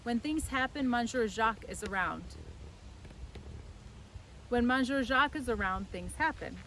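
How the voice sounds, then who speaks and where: A woman voicing a dry-humoured, assured English gentleman painter in a storybook, speaking reassuringly. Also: on the high side, lively intonation, unhurried, slight background noise, voice pushed up, poor audio. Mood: wry reassurance.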